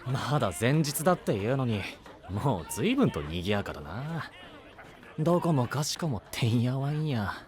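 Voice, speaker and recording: Man acting a brash young knight with animated delivery. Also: medium pitch, normal speed, crowd noise, close to an everyday voice. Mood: wry. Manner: rough slangy speech, easily flustered. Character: brash, flustered, tough-talking young male knight, loyal and exasperated. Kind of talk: Japanese anime-style voice drama acting.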